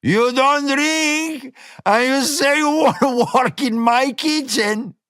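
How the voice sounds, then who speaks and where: A man voicing a fat, blustering diner owner, mocking and loud. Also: a little high, sing-song, slow, no echo, studio quality, voice pushed up, exaggerated voice.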